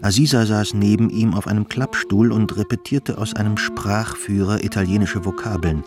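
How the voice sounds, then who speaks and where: Deep-voiced guy narrating a literary radio play, music going behind him. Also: steady tone, moderate pace.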